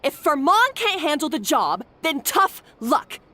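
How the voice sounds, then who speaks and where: A woman's voice: sits high, big pitch swings, unhurried, pushed and bright, no room sound, exaggerated voice. An actress playing a harsh, bossy head chef who berates her kitchen staff, in a game cutscene. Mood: contemptuous. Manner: scolding, contemptuous. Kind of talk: game voice acting.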